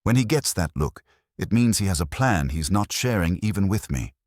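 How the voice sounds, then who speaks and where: A man reading a first-person detective story as a scripted narrator, in a measured, dramatic way. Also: low voice, animated, a little mellow, dry recording, studio quality. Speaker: audiobook narrator telling the story as a detective's assistant.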